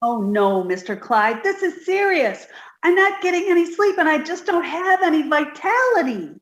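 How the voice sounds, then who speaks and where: A woman's voice: very high voice, animated, moderate pace, reverberant, dull audio. A woman playing a sweet, naive, scatterbrained young secretary in an old-time radio comedy, earnest and easily distracted. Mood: sleepy, earnest.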